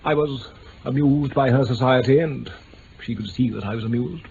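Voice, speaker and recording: An actor playing a pompous, easily affronted aristocrat in a radio drama, with an upper-class English accent and a stiff, hesitant delivery. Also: male voice, medium pitch, moderate pace, gravelly, darkish tone, natural voice. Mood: stiff, reminiscing. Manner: pompous, stiff, hesitant over delicate words. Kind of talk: 1950s-60s BBC radio drama acting. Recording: muffled, hissy old radio recording.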